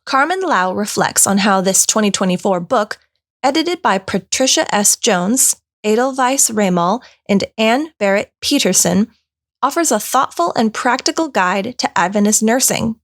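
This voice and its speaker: A woman's voice: medium pitch, moderate pace. Woman reading a church news roundup. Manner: even, polished read. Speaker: news host. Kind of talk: scripted news narration.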